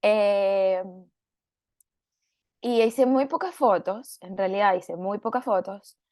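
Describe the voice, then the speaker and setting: A hoarse woman with a Venezuelan accent, a photojournalist giving a relaxed, unhurried talk over a video call, with many filler pauses. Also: medium pitch, dry recording, clean studio sound. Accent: Venezuelan Spanish. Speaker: photojournalist guest speaker. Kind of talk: relaxed talk on an online panel.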